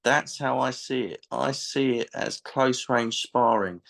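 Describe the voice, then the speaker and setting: A man's voice: medium pitch, unhurried, gravelly. A man answering interview questions as a martial arts instructor, explaining his views emphatically.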